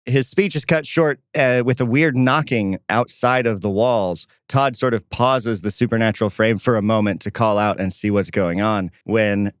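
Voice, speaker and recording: A man co-hosting a podcast in casual behind-the-scenes chat, telling things in an animated, conversational way with plenty of "like". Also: on the low side, normal speed, few pauses, clean tone, dry recording, studio quality. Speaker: podcast co-host and producer.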